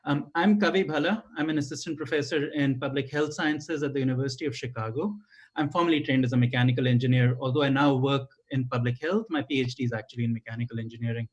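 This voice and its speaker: A man's voice: mid-range voice, fairly even intonation, quick pace, muffled recording. A man from India with an Indian accent, speaking earnestly on a video call, with many fillers and restarts, as he introduces a guest. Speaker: webinar moderator, professor.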